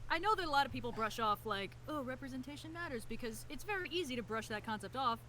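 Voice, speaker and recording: A woman's voice: high-pitched, animated, fast talker, pushed and bright, a little hiss or hum, rough recording. A woman streaming a game, talking in a casual, earnest and reflective way.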